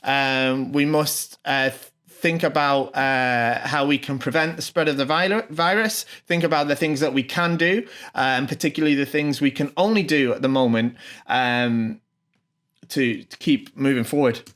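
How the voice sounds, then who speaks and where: A man hosting his own channel, translating a video message in an informal, off-the-cuff way, with many pauses, ums and restarts. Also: medium pitch, fairly bright tone. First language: not Japanese.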